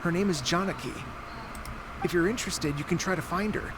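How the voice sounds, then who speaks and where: An actor as a higher-voiced, modest student. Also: male voice, brisk, noisy background, natural voice. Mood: polite, informative. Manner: polite, eager, self-effacing. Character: helpful, modest young male student bystander. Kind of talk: video game voice acting. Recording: clear game ambience behind voice.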